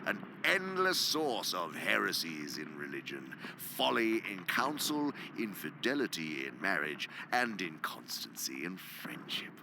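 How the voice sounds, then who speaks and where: A senior demon of radio theatre, very low and swooping widely in pitch. He lectures like an exacting, pompous uncle, precise and theatrical, sometimes sinking to a hushed emphasis. Faint ambient effects sit behind him. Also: sing-song, a little slow, gravelly, hardly any pauses, background noise, heavily acted. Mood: scheming, emphatic. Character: senior male demon, imperious scholarly uncle, exacting mentor. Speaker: senior demon lecturing his subordinate nephew.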